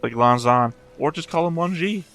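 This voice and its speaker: A man's voice: fairly high pitch, lively intonation, moderate pace, fairly bright tone, faint music behind. A man hosting a reaction show, commenting casually and off the cuff in a wry, musing way.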